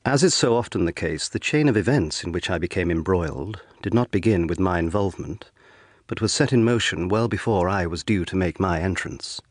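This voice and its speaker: An actor playing a reflective, haunted young lawyer, narrating a period audio drama in a measured, sombre way. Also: male voice, low-pitched, no echo. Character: reflective, haunted young 18th-century lawyer recounting his past. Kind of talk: scripted first-person drama narration.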